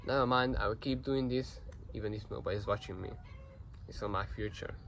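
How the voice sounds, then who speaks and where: A man's voice: on the low side, brisk. A teenage boy with Hungarian as his first language, talking earnestly to camera in a rambling vlog, full of fillers and restarts, recorded without a proper microphone. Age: teens. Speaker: vlogger. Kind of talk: casual self-reflective talk to camera. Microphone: no microphone, built-in device mic. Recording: noisy built-in mic recording.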